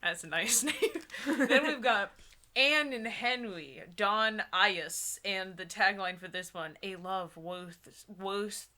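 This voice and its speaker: A woman's voice: mid-range voice, slow, noisy background. A woman chatting casually and giggly as a co-host, giving comic, mock-critical commentary. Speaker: co-host of a comedy video channel.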